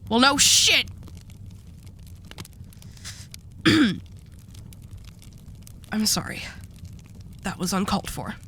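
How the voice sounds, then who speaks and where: A woman's voice: medium pitch, sing-song, takes it slowly, bright, forceful tone, a little hiss or hum, full character voice. A woman playing a commanding yet haunted, sardonic female mafia boss in an audio roleplay. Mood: upset.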